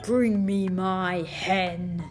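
A huge man-eating giant booms out his threats, put on by a woman reading a storybook. Every word is dragged out slowly and shouted. Soft music plays underneath. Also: steady tone. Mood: commanding. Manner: slow booming chant, bellowing orders. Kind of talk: storybook read-aloud.

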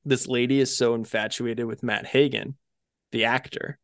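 A man's voice: medium pitch, steady tone, moderate pace, no echo, studio quality. A man co-hosting a podcast, talking casually and conversationally as he reviews episodes, with many filler phrases. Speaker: podcast co-host.

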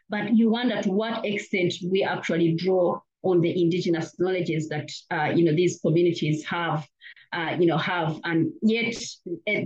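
A woman's voice: on the low side, darkish tone, lo-fi sound. A woman from Uganda, an academic, giving earnest interview answers full of "you know" fillers and restarts. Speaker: academic guest. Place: lives in Australia.

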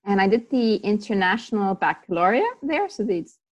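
A woman's voice: medium pitch, very animated, normal speed, muffled recording. A woman who grew up in Tanzania, a sports psychologist guest talking casually in an interview, rambling with many fillers and restarts.

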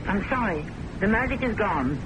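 A woman's voice: mid-range voice, animated, moderate pace, lightly acted. An actress playing a resolute, accusing woman, dramatic and sarcastic, in vintage radio drama style on a noisy old recording. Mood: anguished, resolute. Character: accusing, resolute woman who worships and defies her boss.

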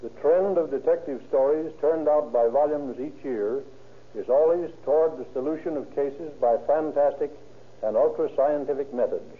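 A man giving a measured, formal scripted address as an official, on an old 1930s radio recording. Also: a little high, narrow, tinny audio. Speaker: state narcotics enforcement chief, guest speaker.

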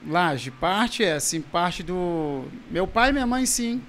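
A Brazilian man in his forties, a pastor, talking casually in an interview about his family and childhood, halting with restarts. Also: sits high, sing-song, slight background noise. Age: 40s. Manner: halting, with frequent restarts and soft laughs.

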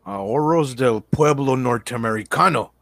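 Radio comedy: officious cop, medium pitch, mocking and pushy, slips English into his Spanish. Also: measured pace, vocal fry, a bit bright. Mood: sly, mocking. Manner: mixes English into Spanish, sardonic. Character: smug, officious New York policeman, bullying a foreigner. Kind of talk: comic radio drama acting.

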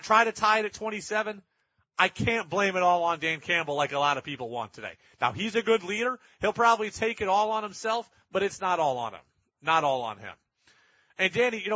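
High, bright-voiced man talking sports. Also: breathy edge, dry recording, muffled recording. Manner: emphatic, repeats points for effect. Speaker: sports talk show host. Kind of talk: opinionated sports talk commentary.

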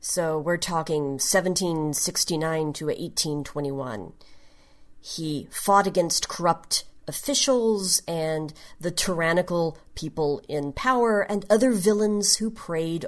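A woman gives a prepared podcast talk as a segment presenter. She speaks slowly and explains carefully, in a slightly low voice. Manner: measured, explanatory. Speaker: genre history segment presenter.

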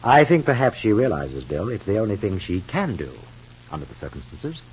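An actor playing a guarded, bitter husband in an old radio soap opera, speaking in a measured, formal way. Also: male voice, on the low side, sing-song, a little hiss or hum. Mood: coldly resolute. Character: guarded, bitter husband, coolly suspicious of his wife. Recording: muffled, lo-fi old radio recording.

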